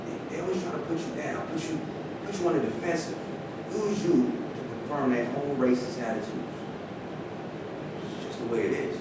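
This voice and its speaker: A man's voice: steady tone, moderate pace, lightly acted. A man acting in a staged play reading as an aggrieved, cynical famous former athlete, speaking conversationally. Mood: bitter. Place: live staged reading in a roomy indoor space. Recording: echoey room from far off, rumbling noise.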